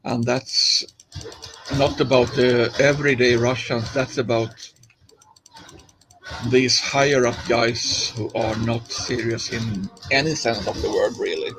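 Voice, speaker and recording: A man's voice: mid-range voice, fairly even intonation, slow pace, over music, rough recording. A man from Finland with a Finnish accent, chatting casually on a live stream while he plays, rambling along with many fillers and restarts.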